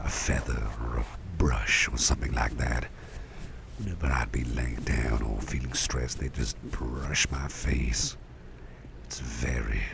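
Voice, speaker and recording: A man playing a gentle, doting businessman boss in an ASMR roleplay, speaking in a soft half-whisper with a tender, reassuring manner. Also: very deep voice, exaggerated voice. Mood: soothing, tender. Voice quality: soft, breathy half-whisper with gravelly fry. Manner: tender, reassuring, unhurried, hesitant murmuring. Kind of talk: ASMR roleplay, personal attention. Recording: clear background noise with ambience.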